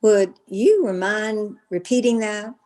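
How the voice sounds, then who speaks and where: A woman's voice: medium pitch, slow pace, dark tone, natural voice. Coquettish belle acted by a woman. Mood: affronted. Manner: flirtatious, gushing, genteel. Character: coquettish, flattering Southern belle lady. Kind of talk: old-time radio comedy acting.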